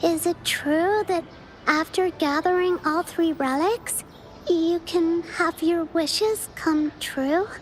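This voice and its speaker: A woman's voice: very high voice, slow, a little hiss or hum, low-quality recording. A woman voicing a timid young girl who stammers, in a video game. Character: shy young girl with a secret map. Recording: game audio with faint music.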